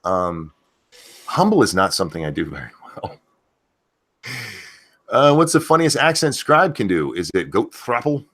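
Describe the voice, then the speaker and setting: A man's voice: low-pitched, very animated, normal speed, pauses often, a bit bright. A man hosting a casual livestream chat, blunt and self-assured, swearing freely and restarting his sentences. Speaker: show host.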